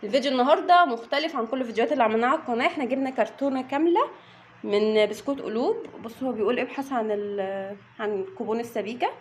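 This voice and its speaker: A woman's voice: a little high, rapid-fire, slight background noise. A woman with an Egyptian accent chatting to viewers while unboxing snacks at home. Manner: chatty, addresses viewers directly. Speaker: vlogger mother. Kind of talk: casual unboxing vlog.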